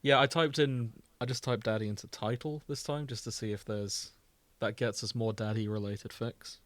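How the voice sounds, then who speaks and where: A man's voice: medium pitch, steady tone, moderate pace, fairly bright tone, no echo. A man co-hosting a podcast in casual chat, with a wry, self-deprecating manner. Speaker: podcast co-host.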